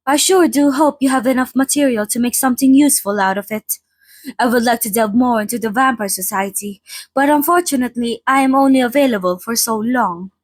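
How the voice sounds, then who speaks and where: A woman playing a polite, chatty old vampire being interviewed in an ASMR roleplay, speaking in a slightly formal, gently wry way. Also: fairly high pitch, a little fast, no room sound, close to an everyday voice. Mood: friendly, courteous. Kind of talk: ASMR roleplay, in-character monologue to listener.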